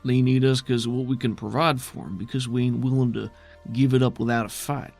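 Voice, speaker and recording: A man's voice: mid-range voice, steady tone, a little fast, gravelly, darkish tone, faint music behind. A man voicing a weary, defiant male settlement leader in a tabletop game, with folksy, plainspoken, skeptical phrasing. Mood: grimly defiant.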